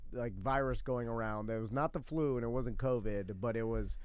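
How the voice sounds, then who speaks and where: Smooth-voiced man chatting casually. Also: medium pitch, normal speed. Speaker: radio show co-host. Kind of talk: casual banter.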